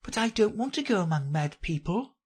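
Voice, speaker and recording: A woman's voice: medium pitch, animated, fairly quick, darkish tone, breathy edge, voice pushed up. A woman voicing a polite, puzzled little girl from a children's storybook, earnest and a little timid. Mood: doubtful.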